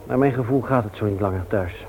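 An actor playing an earnest, reserved widowed father in a radio play, speaking in a hesitant, halting way. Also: fairly low pitch, natural voice. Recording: tinny old radio recording with hiss.

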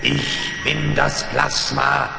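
A man playing a menacing, fearful alien plasma mass in a radio play, speaking slowly with a gravelly, creaking voice. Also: medium pitch, level tone, heavily acted. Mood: fearful, ominous. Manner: slow, ominous declarations. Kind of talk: 1970s German radio play. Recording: long cavernous reverb over music.

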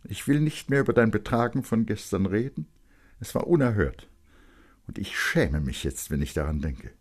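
A man's voice: fairly low pitch, very animated, moderate pace, a little mellow, pitched above the performer's own voice. A man voicing a stern, cold mother who gives her young son hard, clipped orders in a literary reading. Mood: cold reproach. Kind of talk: literary audiobook character reading.

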